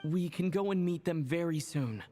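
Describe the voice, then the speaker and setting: A woman's voice: lowish voice, moderate pace, warm tone, natural voice. A woman voicing an earnest, devoted young traveler in a video game, speaking with sincere, kind hopefulness. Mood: gentle. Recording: music clearly behind the voice.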